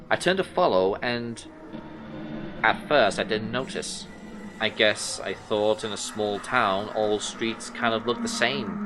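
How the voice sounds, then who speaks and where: Music plays clearly beneath a troubled detective, acted by a man, telling his eerie story in the first person. His voice sits at a medium pitch. The delivery is measured, brooding storytelling for a horror audio drama. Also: lightly acted. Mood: baffled. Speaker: narrator. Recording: music under the voice. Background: music soundtrack.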